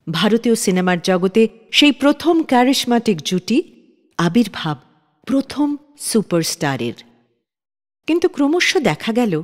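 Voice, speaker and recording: A woman reading scripted narration as the host of a radio show. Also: mid-range voice. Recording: long reverb on the voice.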